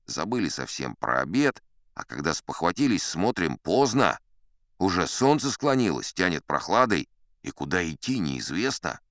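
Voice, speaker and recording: An actor playing a chatty, boastful old sea captain, spinning tall tales in a theatrical audiobook manner. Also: male voice, deep voice, dry recording, clean studio sound, full character voice. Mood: wistful, animated. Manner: theatrical, leisurely, old-fashioned conversational raconteur. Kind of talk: dramatized audiobook storytelling.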